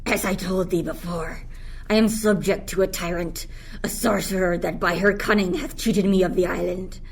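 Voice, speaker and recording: A student actress playing a resentful, scheming yet dreamy enslaved island monster in a Shakespearean radio play. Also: female voice, fairly low pitch, fairly even intonation, normal speed, gravelly, breathy edge. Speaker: student actor.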